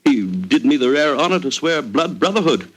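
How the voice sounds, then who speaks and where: An actor playing an agitated older British army colonel, excitable and hesitant, in 1940s radio drama style. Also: male voice, a little high, normal speed, husky, rough recording. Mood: proud, reminiscing. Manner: excitable, hesitant, then vehement. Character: agitated older English colonel haunted by imagined sounds. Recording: old 1940s radio recording.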